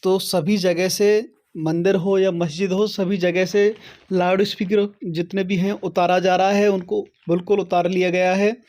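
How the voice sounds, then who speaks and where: A man with a high-pitched voice gives an instructional talk for an online audience. He lays out procedures one step at a time, addressing viewers directly and punctuating his points with small confirmations. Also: flat delivery, measured pace, darkish tone. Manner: step-by-step, repeatedly checking with "okay". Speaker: host of an online how-to channel. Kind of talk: explanatory tutorial talk to viewers.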